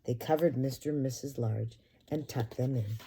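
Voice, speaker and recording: A woman's voice: a bass voice, moderate pace, warm tone, breathy edge. A woman preschool teacher reading a picture book aloud expressively and talking directly to young children. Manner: addresses children directly with simple questions.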